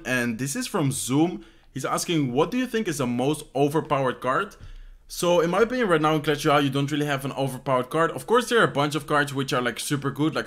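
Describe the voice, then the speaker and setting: Young Dutch-accented man, slightly high voice, relaxed rambling Q&A host, second-language English, home desk mic. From Netherlands. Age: young adult. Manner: rambling, many "like" fillers and "how you say this" searches. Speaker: esports player answering fan questions. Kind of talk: casual Q&A chat. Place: bedroom at home, indoors.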